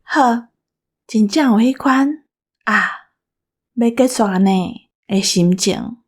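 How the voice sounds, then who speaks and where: A Taiwanese woman with a fairly high voice speaks casually to her audience as part of a production team. She talks in the manner of an informal sign-off to loyal listeners. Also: slow pace. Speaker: podcast team member and audio engineer.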